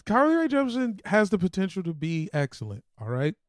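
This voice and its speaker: A man with a high voice and very lively intonation, trading casual jokes as a podcast co-host. He is slangy, profane and irreverent, and the sound is close and dry. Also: brisk, dry recording. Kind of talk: casual comic banter.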